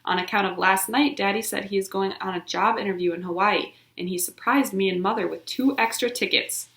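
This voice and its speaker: A woman voicing a loud, boisterous little girl bursting with excitement, proud and bouncy. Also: mid-range voice, a little fast, natural voice. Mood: chatty. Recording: roomy echo.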